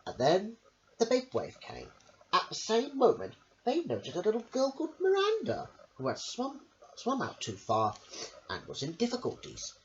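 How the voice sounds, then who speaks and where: A woman's voice: mid-range voice, wildly swooping pitch, moderate pace, darkish tone. A woman reading a children's story aloud in a theatrical, emphatic way, sometimes stumbling over words, on a home recording. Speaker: storyteller on own channel. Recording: muffled, hissy home recording.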